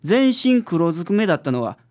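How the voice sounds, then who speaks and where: A man's voice: high voice, big pitch swings, a little fast, darkish tone. A man playing an unflappable, easygoing private detective with a Kansai accent, explaining things confidently in a radio drama. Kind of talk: community radio drama acting.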